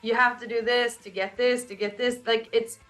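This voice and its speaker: A woman singer with a naturally raspy voice, answering interview questions in a loose, candid way, full of "like" and "you know". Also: a little high, fairly even intonation, normal speed, a bit bright, faint music behind. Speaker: band singer.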